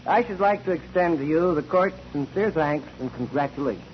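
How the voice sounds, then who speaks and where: An actor playing a dignified, courteous judge in a 1940s radio courtroom drama. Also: male voice, a little high, expressive, a little fast, lightly acted. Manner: formal, dignified. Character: formal, courteous male judge presiding over court. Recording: dull, noisy 1949 radio recording.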